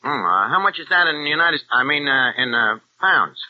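A man's voice: on the high side, lively intonation, fairly quick, a little hiss or hum, close to an everyday voice. An actor playing a wisecracking American crook with slangy tough-guy talk and hesitant fillers, in an old radio drama. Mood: wheeling. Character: wisecracking American double-agent crook, streetwise. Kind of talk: 1940s radio acting. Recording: dull old radio recording.

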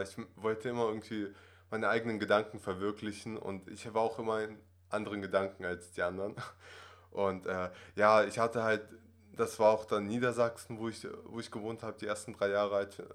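A man's voice: fairly low pitch, little pitch movement, a little fast, fairly bright tone. A man in his twenties who grew up in Russia, talking casually as a podcast guest, rambling with many fillers and tag questions. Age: 20s. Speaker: acting student guest.

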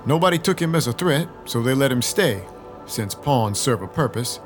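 A man's voice: medium pitch, animated, moderate pace, close to an everyday voice. A man playing a dry, brooding, world-weary vampire detective with terse noir delivery in an audio drama. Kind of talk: first-person noir narration, audio drama acting. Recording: loud music behind the voice.

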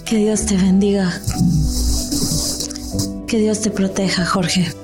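A woman's voice: mid-range voice, level tone, takes it slowly, lightly acted. Woman acting tender young sweetheart over music. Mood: tender farewell. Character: loving, devout young village sweetheart. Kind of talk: amateur radio drama acting.

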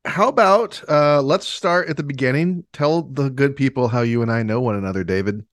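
A man's voice: medium pitch, normal speed, no room sound, studio quality. A middle-aged man from Michigan with an American accent, hosting a podcast in a casual conversational style. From Michigan, United States. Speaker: podcast host. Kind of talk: casual chat.

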